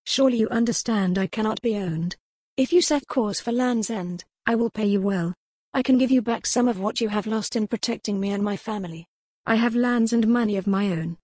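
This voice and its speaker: A woman's voice: mid-range voice, fairly quick, a little mellow, no room sound, studio quality, natural voice. A woman voicing a defiant young noble lady who pleads earnestly in formal old-fashioned speech. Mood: impassioned.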